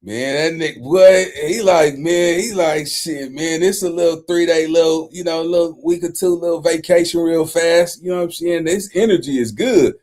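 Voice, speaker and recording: Male streamer, high register, loose slang-heavy rambling, animated street-talk commentary. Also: moderate pace, few pauses.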